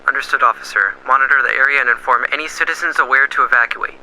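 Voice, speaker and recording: A man playing a calm police dispatcher giving orders over the radio. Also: mid-range voice, quick pace, very noisy, natural voice. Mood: steady. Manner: crisp, procedural radio talk. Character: calm male police dispatcher, steady and procedural. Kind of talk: amateur radio drama acting.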